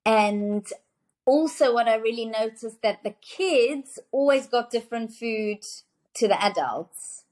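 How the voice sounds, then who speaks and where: A woman's voice: a little high, expressive, a little slow, a little mellow. A woman in her thirties from South Africa, a nutrition author chatting as a podcast guest, enthusiastic and full of fillers and restarts. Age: 30s. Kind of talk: casual interview conversation.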